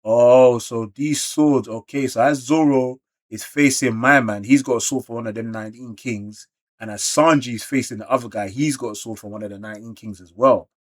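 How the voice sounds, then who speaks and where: Slangy London man reacting excitedly. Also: medium pitch, normal speed. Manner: slangy, animated exclamations. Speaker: YouTube reactor. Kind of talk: excited manga read-along reaction.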